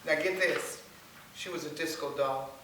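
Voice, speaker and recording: Female reader in her forties, from Long Island, rehearsed personal testimony, sharp tone, heavy room echo and hiss. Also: low voice, moderate pace. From Long Island, New York. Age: 40s. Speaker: stage reader telling a life story. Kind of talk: rehearsed dramatic monologue reading. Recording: very echoey room, distant mic, faint hiss.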